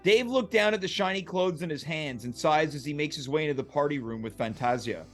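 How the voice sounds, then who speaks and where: A man with a fairly high voice narrates stage directions for a comedy table read. His delivery is scripted narration, with faint music behind. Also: expressive, a little fast, a bit bright.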